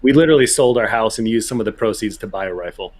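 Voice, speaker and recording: A man's voice: on the low side, fast, darkish tone. A man with an American accent chatting casually as a guest on a podcast. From United States. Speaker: podcast guest, gun YouTuber. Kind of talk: casual chat.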